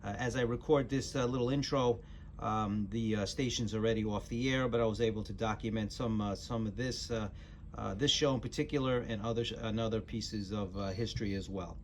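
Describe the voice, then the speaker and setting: A man's voice: on the low side, fairly even intonation. Hesitant American man, noisy recording. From New York City area, US. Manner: hesitant, halting. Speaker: channel host introducing a recording. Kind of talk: casual spoken introduction.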